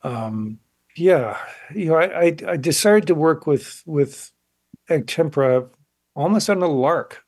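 A man in his sixties talking reflectively in an interview, thoughtful and halting as he searches for words. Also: a little high, animated, darkish tone, slightly rough, no echo. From grew up in South Korea as child of missionaries. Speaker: guest, painter.

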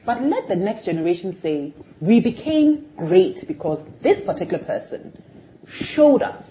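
A middle-aged woman, a television personality, speaking as an interview guest in an animated, emphatic way that swings between loud and soft. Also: mid-range voice, normal speed. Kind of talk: conversational interview. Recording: dull lo-fi recording.

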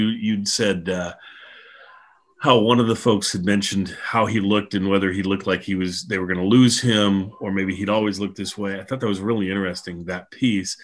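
Male podcast host, deep voice, casual and agreeable conversational delivery. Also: a bit bright. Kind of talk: casual discussion.

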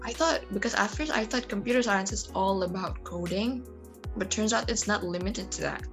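A woman's voice: mid-range voice, normal speed, music in the background. A young woman from Indonesia, speaking English as a second language in a casual interview, with many fillers and restarts. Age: young adult. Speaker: interview guest, computer science student.

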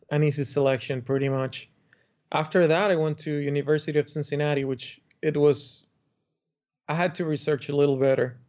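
Young Dominican architect guest, higher-pitched, rambling storyteller; dull lo-fi audio. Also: male voice, darkish tone. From Dominican Republic. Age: young adult. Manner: chatty storytelling with frequent restarts and laughs. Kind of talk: interview conversation. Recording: muffled lo-fi remote audio.

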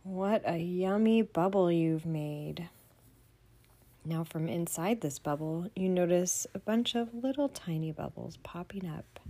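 A woman leading a children's bedtime meditation in a soothing, gentle manner, often dropping to a breathy near-whisper. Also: on the low side. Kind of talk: guided bedtime meditation for kids.

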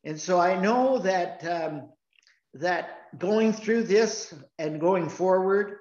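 A man leading a church service as pastor, talking in a slow, earnest way with frequent restarts. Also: high-pitched, a little mellow. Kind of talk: sermon. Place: church sanctuary. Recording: roomy, dull church recording.

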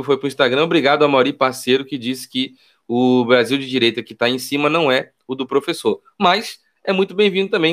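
A man's voice: mid-range voice, a bit bright. A man from Rio de Janeiro with a Carioca accent, giving casual political commentary on his channel beside his wife, emphatic and slangy, repeating key phrases. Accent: Carioca Brazilian Portuguese. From Rio de Janeiro, Brazil. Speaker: political YouTuber.

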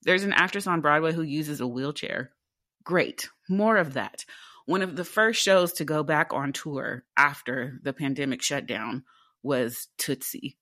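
A woman in her twenties with a deep alto voice, talking candidly as a theatre actor in a casual interview, animated and full of rhetorical questions. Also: a little slow, no room sound, studio quality. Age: 20s.

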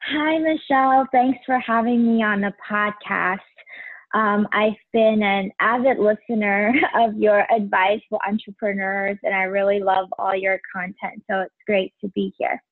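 A woman in her forties from Taiwan, a podcast guest, talking in a soft, casual way with frequent ums and light laughs. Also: mid-range voice, steady tone, measured pace, no echo. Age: 40s. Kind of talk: casual interview chat. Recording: tinny phone-like line, dry.

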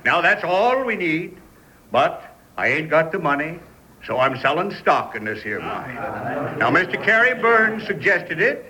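An actor playing a sly, smooth-talking American swindler who poses as pious, in a radio drama. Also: male voice, a little high, sharp tone, slight background noise, rough recording. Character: sly male swindler posing as pious mine seller.

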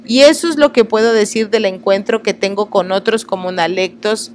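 A woman's voice: mid-range voice, moderate pace, slight background noise. A woman from Oaxaca, reading texts aloud and stopping for earnest, apologetic asides when she stumbles over unfamiliar words. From Oaxaca, Mexico.

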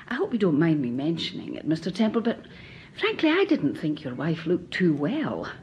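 A woman's voice: sits low, very animated, normal speed, a little mellow, a little hiss or hum. A polite, concerned young lady. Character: helpful young woman at the hotel. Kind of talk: 1940s radio acting.

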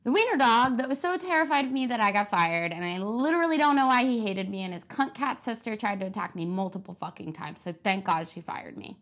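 A young woman hosting a casual podcast from a kitchen, ranting and cursing with excitable energy. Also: mid-range voice, a little fast, few pauses. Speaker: podcast host. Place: kitchen at home.

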